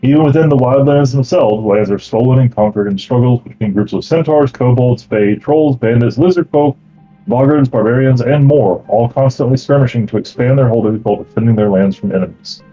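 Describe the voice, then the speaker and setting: Man, game master, husky mellow voice, reading lore then explaining rules, slightly dull recording, faint background music. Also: medium pitch, brisk. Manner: steady reading that turns into relaxed explaining with fillers.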